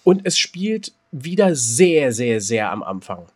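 A man's voice: medium pitch, takes it slowly. A man co-hosting a podcast, chatting casually over a video call, rambling with lots of fillers. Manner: relaxed, rambling, many fillers. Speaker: podcast co-host.

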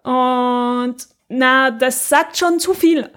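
A woman's voice: sits high, extremely slow, a bit bright. A woman co-hosting a podcast, chatting casually and conversationally, with an easy laugh, as she gives recommendations. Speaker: podcast co-host. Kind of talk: casual chat, recommending audio dramas.

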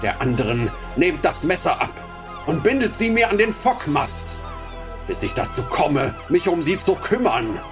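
An actor playing a cruel, greedy ship captain and slave trader in a radio play, sneering and menacing. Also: male voice, on the high side, huge pitch swings, unhurried, over music.

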